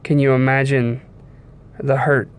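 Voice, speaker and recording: A young man speaks at a middling pitch, delivering a devotional message like an informal sermon. His manner is earnest and measured, with pauses between short phrases. He is recorded on a phone inside a car, with some background noise around the voice. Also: level tone. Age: young adult. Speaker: preacher giving a devotional message. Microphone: phone at arm's length.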